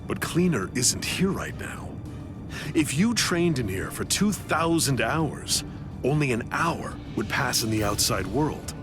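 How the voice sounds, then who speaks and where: An actor playing a grave, knowing warrior mentor in an anime game, explaining seriously and with command. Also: male voice, mid-range voice, lively intonation, a little slow, music in the background, natural voice. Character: grave, knowing Soul Reaper mentor to a young fighter. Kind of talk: English anime game voice acting.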